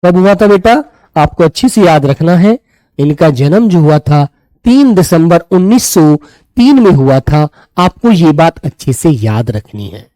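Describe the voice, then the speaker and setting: High-pitched male teacher, mellow dry sound. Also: lively intonation, dry recording, low-quality recording. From Uttar Pradesh, India. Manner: repeats key phrases slowly as if dictating; affectionate check-ins with students as "beta". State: sore throat. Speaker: Hindi teacher of an online exam-prep class. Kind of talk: live online lesson, addressing students.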